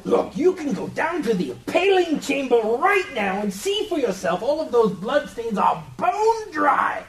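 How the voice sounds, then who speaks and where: A man playing a defensive reformed villain, a whiny, excitable mad doctor, in a live comedic radio play. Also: extremely high pitch, measured pace, heavily acted. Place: live convention stage. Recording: echoey live stage, distant mic.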